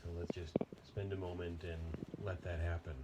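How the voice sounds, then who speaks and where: A man leading an unscripted guided body meditation in a gentle, unhurried manner with long pauses. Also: deep voice, little pitch movement, heavy background noise.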